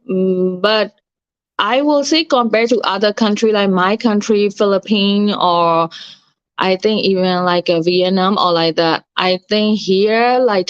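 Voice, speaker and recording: A woman chats in an interview, feeling her way through sentences with frequent fillers. Her voice sits at a middle pitch. The recording is dry and slightly muffled. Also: smooth, no room sound. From Myanmar. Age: 30s. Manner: chatty, searching for words with many likes. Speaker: guest. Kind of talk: casual interview chat. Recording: dull, slightly lo-fi sound.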